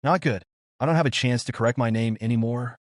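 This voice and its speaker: A man's voice: medium pitch, fast talker, no room sound, clean studio sound, lightly acted. A man voicing a composed, weary young mage from a manga in a restrained, brooding manner.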